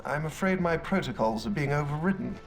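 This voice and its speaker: A courteous computer butler for a game, unflappable and deferential. The voice sits fairly high with a creaky edge, formal in every phrase, music playing behind. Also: male voice, expressive, fairly quick. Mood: polite, measured. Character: polite, unflappable male AI butler. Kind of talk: video game cutscene acting.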